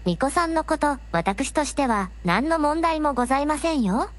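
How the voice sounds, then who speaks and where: A woman's voice: sits high, animated, normal speed, no echo. A woman playing a polite young fantasy princess who speaks very formally in an audio drama. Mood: courteous. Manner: very formal, courteous speech. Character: polite, gracious young dragon-clan princess in human form. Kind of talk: Japanese audio drama acting.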